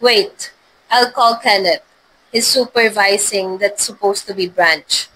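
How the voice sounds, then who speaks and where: A woman voicing a disbelieving, hurried male company boss in a dramatized story reading. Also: mid-range voice, bright, forceful tone, a little hiss or hum, pitched below the performer's own voice, rough recording.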